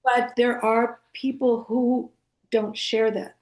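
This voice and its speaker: A woman whose first language is Greek, a guest author speaking thoughtfully, with pauses and fillers. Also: on the high side, little pitch movement. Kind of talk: casual conversational interview.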